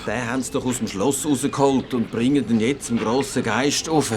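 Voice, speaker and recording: A man's voice: mid-range voice, normal speed, noisy background, close to an everyday voice. An actor with a Swiss German accent playing a knowing man from a strange world in a fantasy radio play. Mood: hushed explaining.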